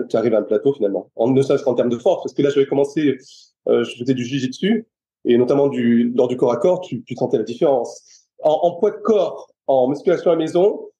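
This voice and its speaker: A man's voice: medium pitch, mellow, lo-fi sound. A middle-aged man from France, a personal trainer, chatting in an interview and stopping often to restart his sentences. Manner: hesitant, many restarts and fillers. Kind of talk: casual interview chat.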